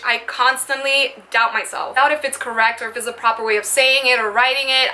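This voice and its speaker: A woman's voice: sits high, sharp tone. A woman in her twenties from the Dominican Republic, a native Spanish speaker, talking earnestly and reflectively to camera in a personal vlog from her bedroom. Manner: earnest, reflective, frequent "like" fillers, gestures with hands. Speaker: vlogger. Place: small decorated bedroom indoors.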